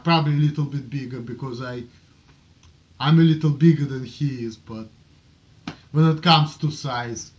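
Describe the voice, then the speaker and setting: A man's voice: fairly high pitch, muffled recording. A man from Russia with a strong Russian accent, rambling casually and repeating himself as he justifies himself in a homemade video blog. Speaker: amateur video blogger. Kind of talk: casual rambling talk to camera.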